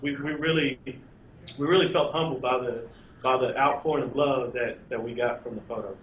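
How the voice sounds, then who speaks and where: A man's voice: mid-range voice, brisk, a bit bright, roomy sound, muffled recording, slight background noise. A man in his thirties, a recent law graduate, speaking earnestly and reflectively in an interview. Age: 30s. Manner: earnest, reflective, hesitant restarts. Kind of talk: casual interview.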